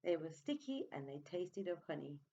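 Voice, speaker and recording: A woman reading a picture book aloud to young children, expressive and coaxing, with little questioning asides. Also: on the low side, moderate pace, gravelly, dull audio. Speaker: storyteller for preschool children.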